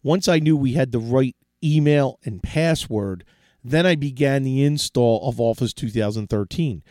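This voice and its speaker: A man's voice: medium pitch, moderate pace, darkish tone, no echo, studio quality. A middle-aged man hosting a tech podcast, talking casually and giving advice with frequent restarts, his voice sore and fading from a cold. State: sore throat, losing his voice. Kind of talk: casual solo tech talk.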